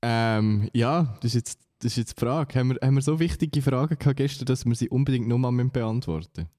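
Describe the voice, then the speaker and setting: A man's voice: mid-range voice, fast, warm tone, long reverb tail. A man from Uri with a Swiss German accent, co-hosting a podcast in a laid-back, slightly hesitant way. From Uri, Switzerland. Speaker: podcast co-host. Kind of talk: casual podcast chat.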